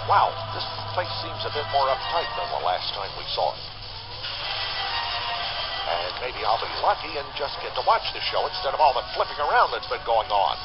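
An actor playing an excitable, wisecracking cartoon narrator in a video game, chatty and exaggerated as he comments like a TV viewer. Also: medium pitch, normal speed, close to an everyday voice. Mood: chatty, uneasy. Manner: animated, exaggerated, chatty, self-interrupting. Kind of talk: comic cutscene narration. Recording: boxy lo-fi screen capture with loud music. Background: loud game music.

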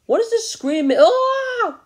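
One person doing high squeaky panicky cartoon people, yelping all over the place. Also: very animated, slow, exaggerated voice. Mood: panicked. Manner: shrieking, frantic questions. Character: panicked trapped cartoon townsfolk. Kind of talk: homemade cartoon voice acting.

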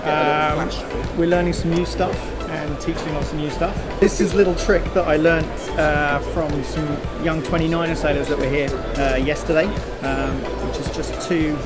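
A man's voice: a little high, fairly even intonation, normal speed, hardly any pauses, loud music behind the voice. Jokey middle-aged man demoing gear. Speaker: exhibitor. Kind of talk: casual product demo. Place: indoor boat show stand.

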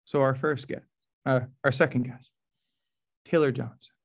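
An American man in his thirties hosting a poetry reading in a casual, colloquial way, often halting and repeating words. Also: mid-range voice, steady tone, gravelly. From New Orleans, USA. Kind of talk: casual, informal event hosting. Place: small office, indoors. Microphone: laptop mic on a video call.

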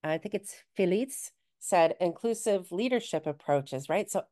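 A woman's voice: on the low side, lively intonation, a little slow, dark tone. A woman co-hosting a webinar over a video call, encouraging participants and reading comments from the chat. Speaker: webinar co-host. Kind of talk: conversational webinar discussion.

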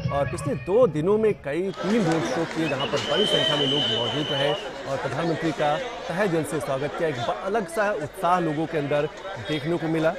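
A man narrating a live news broadcast of a roadshow, talking urgently and repeating himself with fillers. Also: fairly high pitch. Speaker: TV news reporter. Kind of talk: live news commentary over roadshow footage. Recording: loud crowd cheering and music behind. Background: crowd chanting and music.

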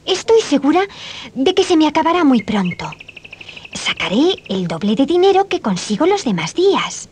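A woman's voice: high voice, sing-song, moderate pace, a little hiss or hum. A woman playing a cheerful, dreamy young milkmaid girl in a children's storybook tape, with a Castilian Spanish accent. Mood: dreamy, hopeful. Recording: 1980s cassette, music behind.

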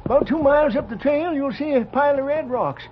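An actor playing a crusty, folksy old American prospector with an exclamatory frontier drawl in a radio western. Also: male voice, very high voice, fairly quick. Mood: friendly, folksy. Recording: muffled, noisy vintage radio broadcast.

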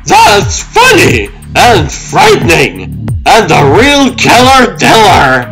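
High-swinging manic killer clown. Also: male voice, wildly swooping pitch, takes it slowly, a bit bright, faint music behind, heavily acted, poor audio. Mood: gleeful menace. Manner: exclaiming, theatrical, menacingly playful. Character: manic giggling killer clown robot, theatrical and gleeful. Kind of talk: comic-book dub character acting.

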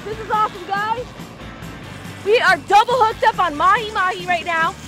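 Bubbly high-voiced woman on a fishing boat. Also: normal speed, music in the background. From Florida. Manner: bubbly, exclamatory, talks to viewers as guys. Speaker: fishing vlogger. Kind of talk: excited on-camera fishing commentary. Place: open boat out on the ocean. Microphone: action camera held close.

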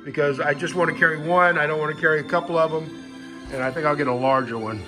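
Male hiker, slightly high voice, folksy and matter-of-fact, talking through gear off the cuff at home into a phone. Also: steady tone, fast, over music, rough recording. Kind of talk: casual unscripted gear walkthrough. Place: indoors in a home living room. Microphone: handheld phone camera, speaker behind it.

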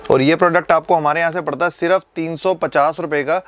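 A man in his thirties, a shopkeeper politely pitching perfumes and prices, calling the host sir. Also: quick pace. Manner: polite, calls the host sir, steady salesman. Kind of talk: sales pitch. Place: indoor perfume shop.

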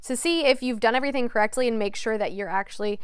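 A young woman, a TV meteorologist, giving a clear step-by-step product demonstration. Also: a little high, quick pace, no echo, clean studio sound. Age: young adult. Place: TV weather studio.

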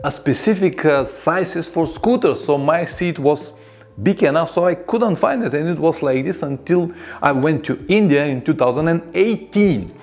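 A man in his forties from Bulgaria, speaking English with a Bulgarian accent, telling a motorcycle story to camera in his garage workshop with a lapel mic, animated and chatty. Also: fairly high pitch, moderate pace. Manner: animated, gestures a lot, chatty with non-native grammar. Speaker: motorcycle travel vlogger.

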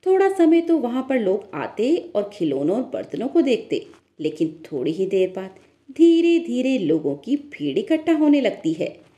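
A woman's voice: a little high, sing-song, normal speed, warm tone, muffled recording. A woman narrator telling a scripted moral story in a storytelling style.

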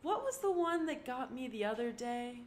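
A woman voicing a bubbly, teasing teenage girl, playful and excitable. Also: high voice, normal speed, slight background noise, voice pushed up.

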